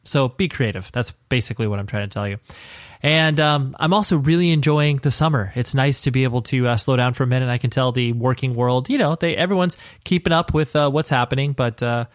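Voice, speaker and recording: Male podcast host, thirties, mid-range pitch. Casual, slangy, rambling conversational delivery. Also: fairly quick, no echo, clean studio sound.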